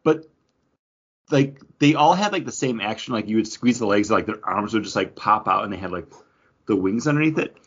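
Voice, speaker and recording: A man's voice: lowish voice, lively intonation, fairly quick, breathy edge, dull audio. A man co-hosting a podcast in casual, enthusiastic chat, using plenty of slang and swearing. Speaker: podcast co-host. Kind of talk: casual chat about comics and toys.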